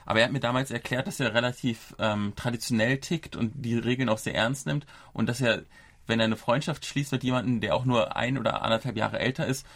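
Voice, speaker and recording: A man's voice: medium pitch, brisk, fairly bright tone, no room sound. A man in his thirties from Germany, hosting a casual podcast and explaining things at length with small restarts. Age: 30s. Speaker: podcast host.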